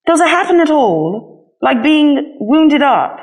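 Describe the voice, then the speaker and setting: A woman's voice: sits high, very animated, takes it slowly, darkish tone, voice pushed up, full character voice. A woman voicing a timid, curious young toy rabbit in a storybook, wondering and full of questions. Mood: anxious wondering. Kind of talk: storybook character voice.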